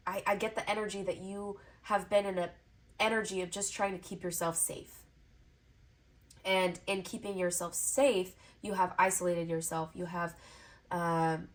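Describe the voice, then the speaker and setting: Stuffy-nosed woman giving a gentle tarot reading. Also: fairly low pitch. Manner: soft, encouraging, repeats phrases in flowing lists. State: stuffy, congested nose. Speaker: tarot reader. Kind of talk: pick-a-card tarot reading to camera.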